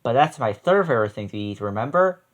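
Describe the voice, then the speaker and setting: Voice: mid-range voice, lively intonation, fast, lightly acted. A storybook reader voicing an always-hungry, mannerly chupacabra monster. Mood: hungry, polite. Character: chupacabra, always-hungry monster who likes goats' snacks.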